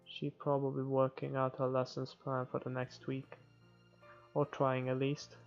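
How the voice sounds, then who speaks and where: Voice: medium pitch, monotone, measured pace. A game player reading visual novel narration aloud with offhand comments, now and then stumbling over words. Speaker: video game playthrough narrator. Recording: muffled recording with music behind. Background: game music.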